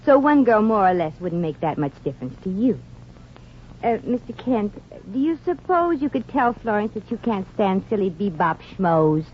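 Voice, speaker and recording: A woman's voice: medium pitch, very animated, moderate pace, lightly acted. Wry, slangy, good-hearted working girl, played by a woman, bouncing intonation, vintage radio comedy, dull sound with background noise. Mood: earnest. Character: wisecracking, kindhearted, brassy young working woman. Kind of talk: 1940s radio comedy acting. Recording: dull, noisy 1940s radio recording.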